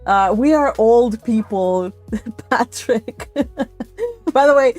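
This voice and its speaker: A millennial woman hosting a book read-along livestream, chatting casually with viewers in an animated, giggly, exclaiming way. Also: fairly high pitch, drawn-out words, faint music behind. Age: 30s to early 40s. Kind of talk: casual live chat with viewers.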